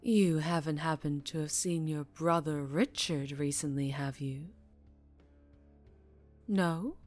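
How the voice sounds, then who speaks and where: A low-pitched female mafia boss, acted by a woman in an ASMR roleplay. She takes her time over every phrase, teasing with courteous charm and veiled menace. Faint music plays behind her. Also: darkish tone. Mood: quietly menacing. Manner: slow, deliberate, polite with veiled menace.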